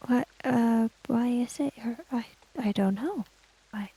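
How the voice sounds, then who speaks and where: A woman narrates her character's moves in a tabletop game. She talks slowly and thoughtfully, with pauses and some vocal fry, in a dry recording. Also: on the high side, dark tone, no room sound, poor audio. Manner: halting, thoughtful.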